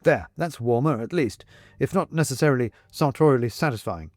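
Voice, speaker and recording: A man's voice: medium pitch, animated, brisk, no room sound, faint music behind, close to an everyday voice. A man voicing a fussy, courteous monk in a storybook, with a wordy, exclamatory, bookish way of talking. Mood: wry. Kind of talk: storybook character voice in audiobook.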